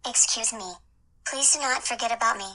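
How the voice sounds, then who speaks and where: A woman performs a semi-sentient female android with a very bright, crisp-edged voice on the high side. The delivery is stiff, formal and deadpan, like a testy, literal machine in a comedy podcast sketch. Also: fairly quick, poor audio. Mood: polite, insistent.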